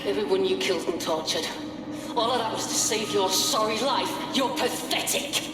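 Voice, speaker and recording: A woman's voice: medium pitch, normal speed, lightly acted. Game casting for an actress: bitter young woman hacker, blunt, in a reverberant hall with music behind. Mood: disgusted. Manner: blunt, scornful. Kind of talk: video game cutscene acting.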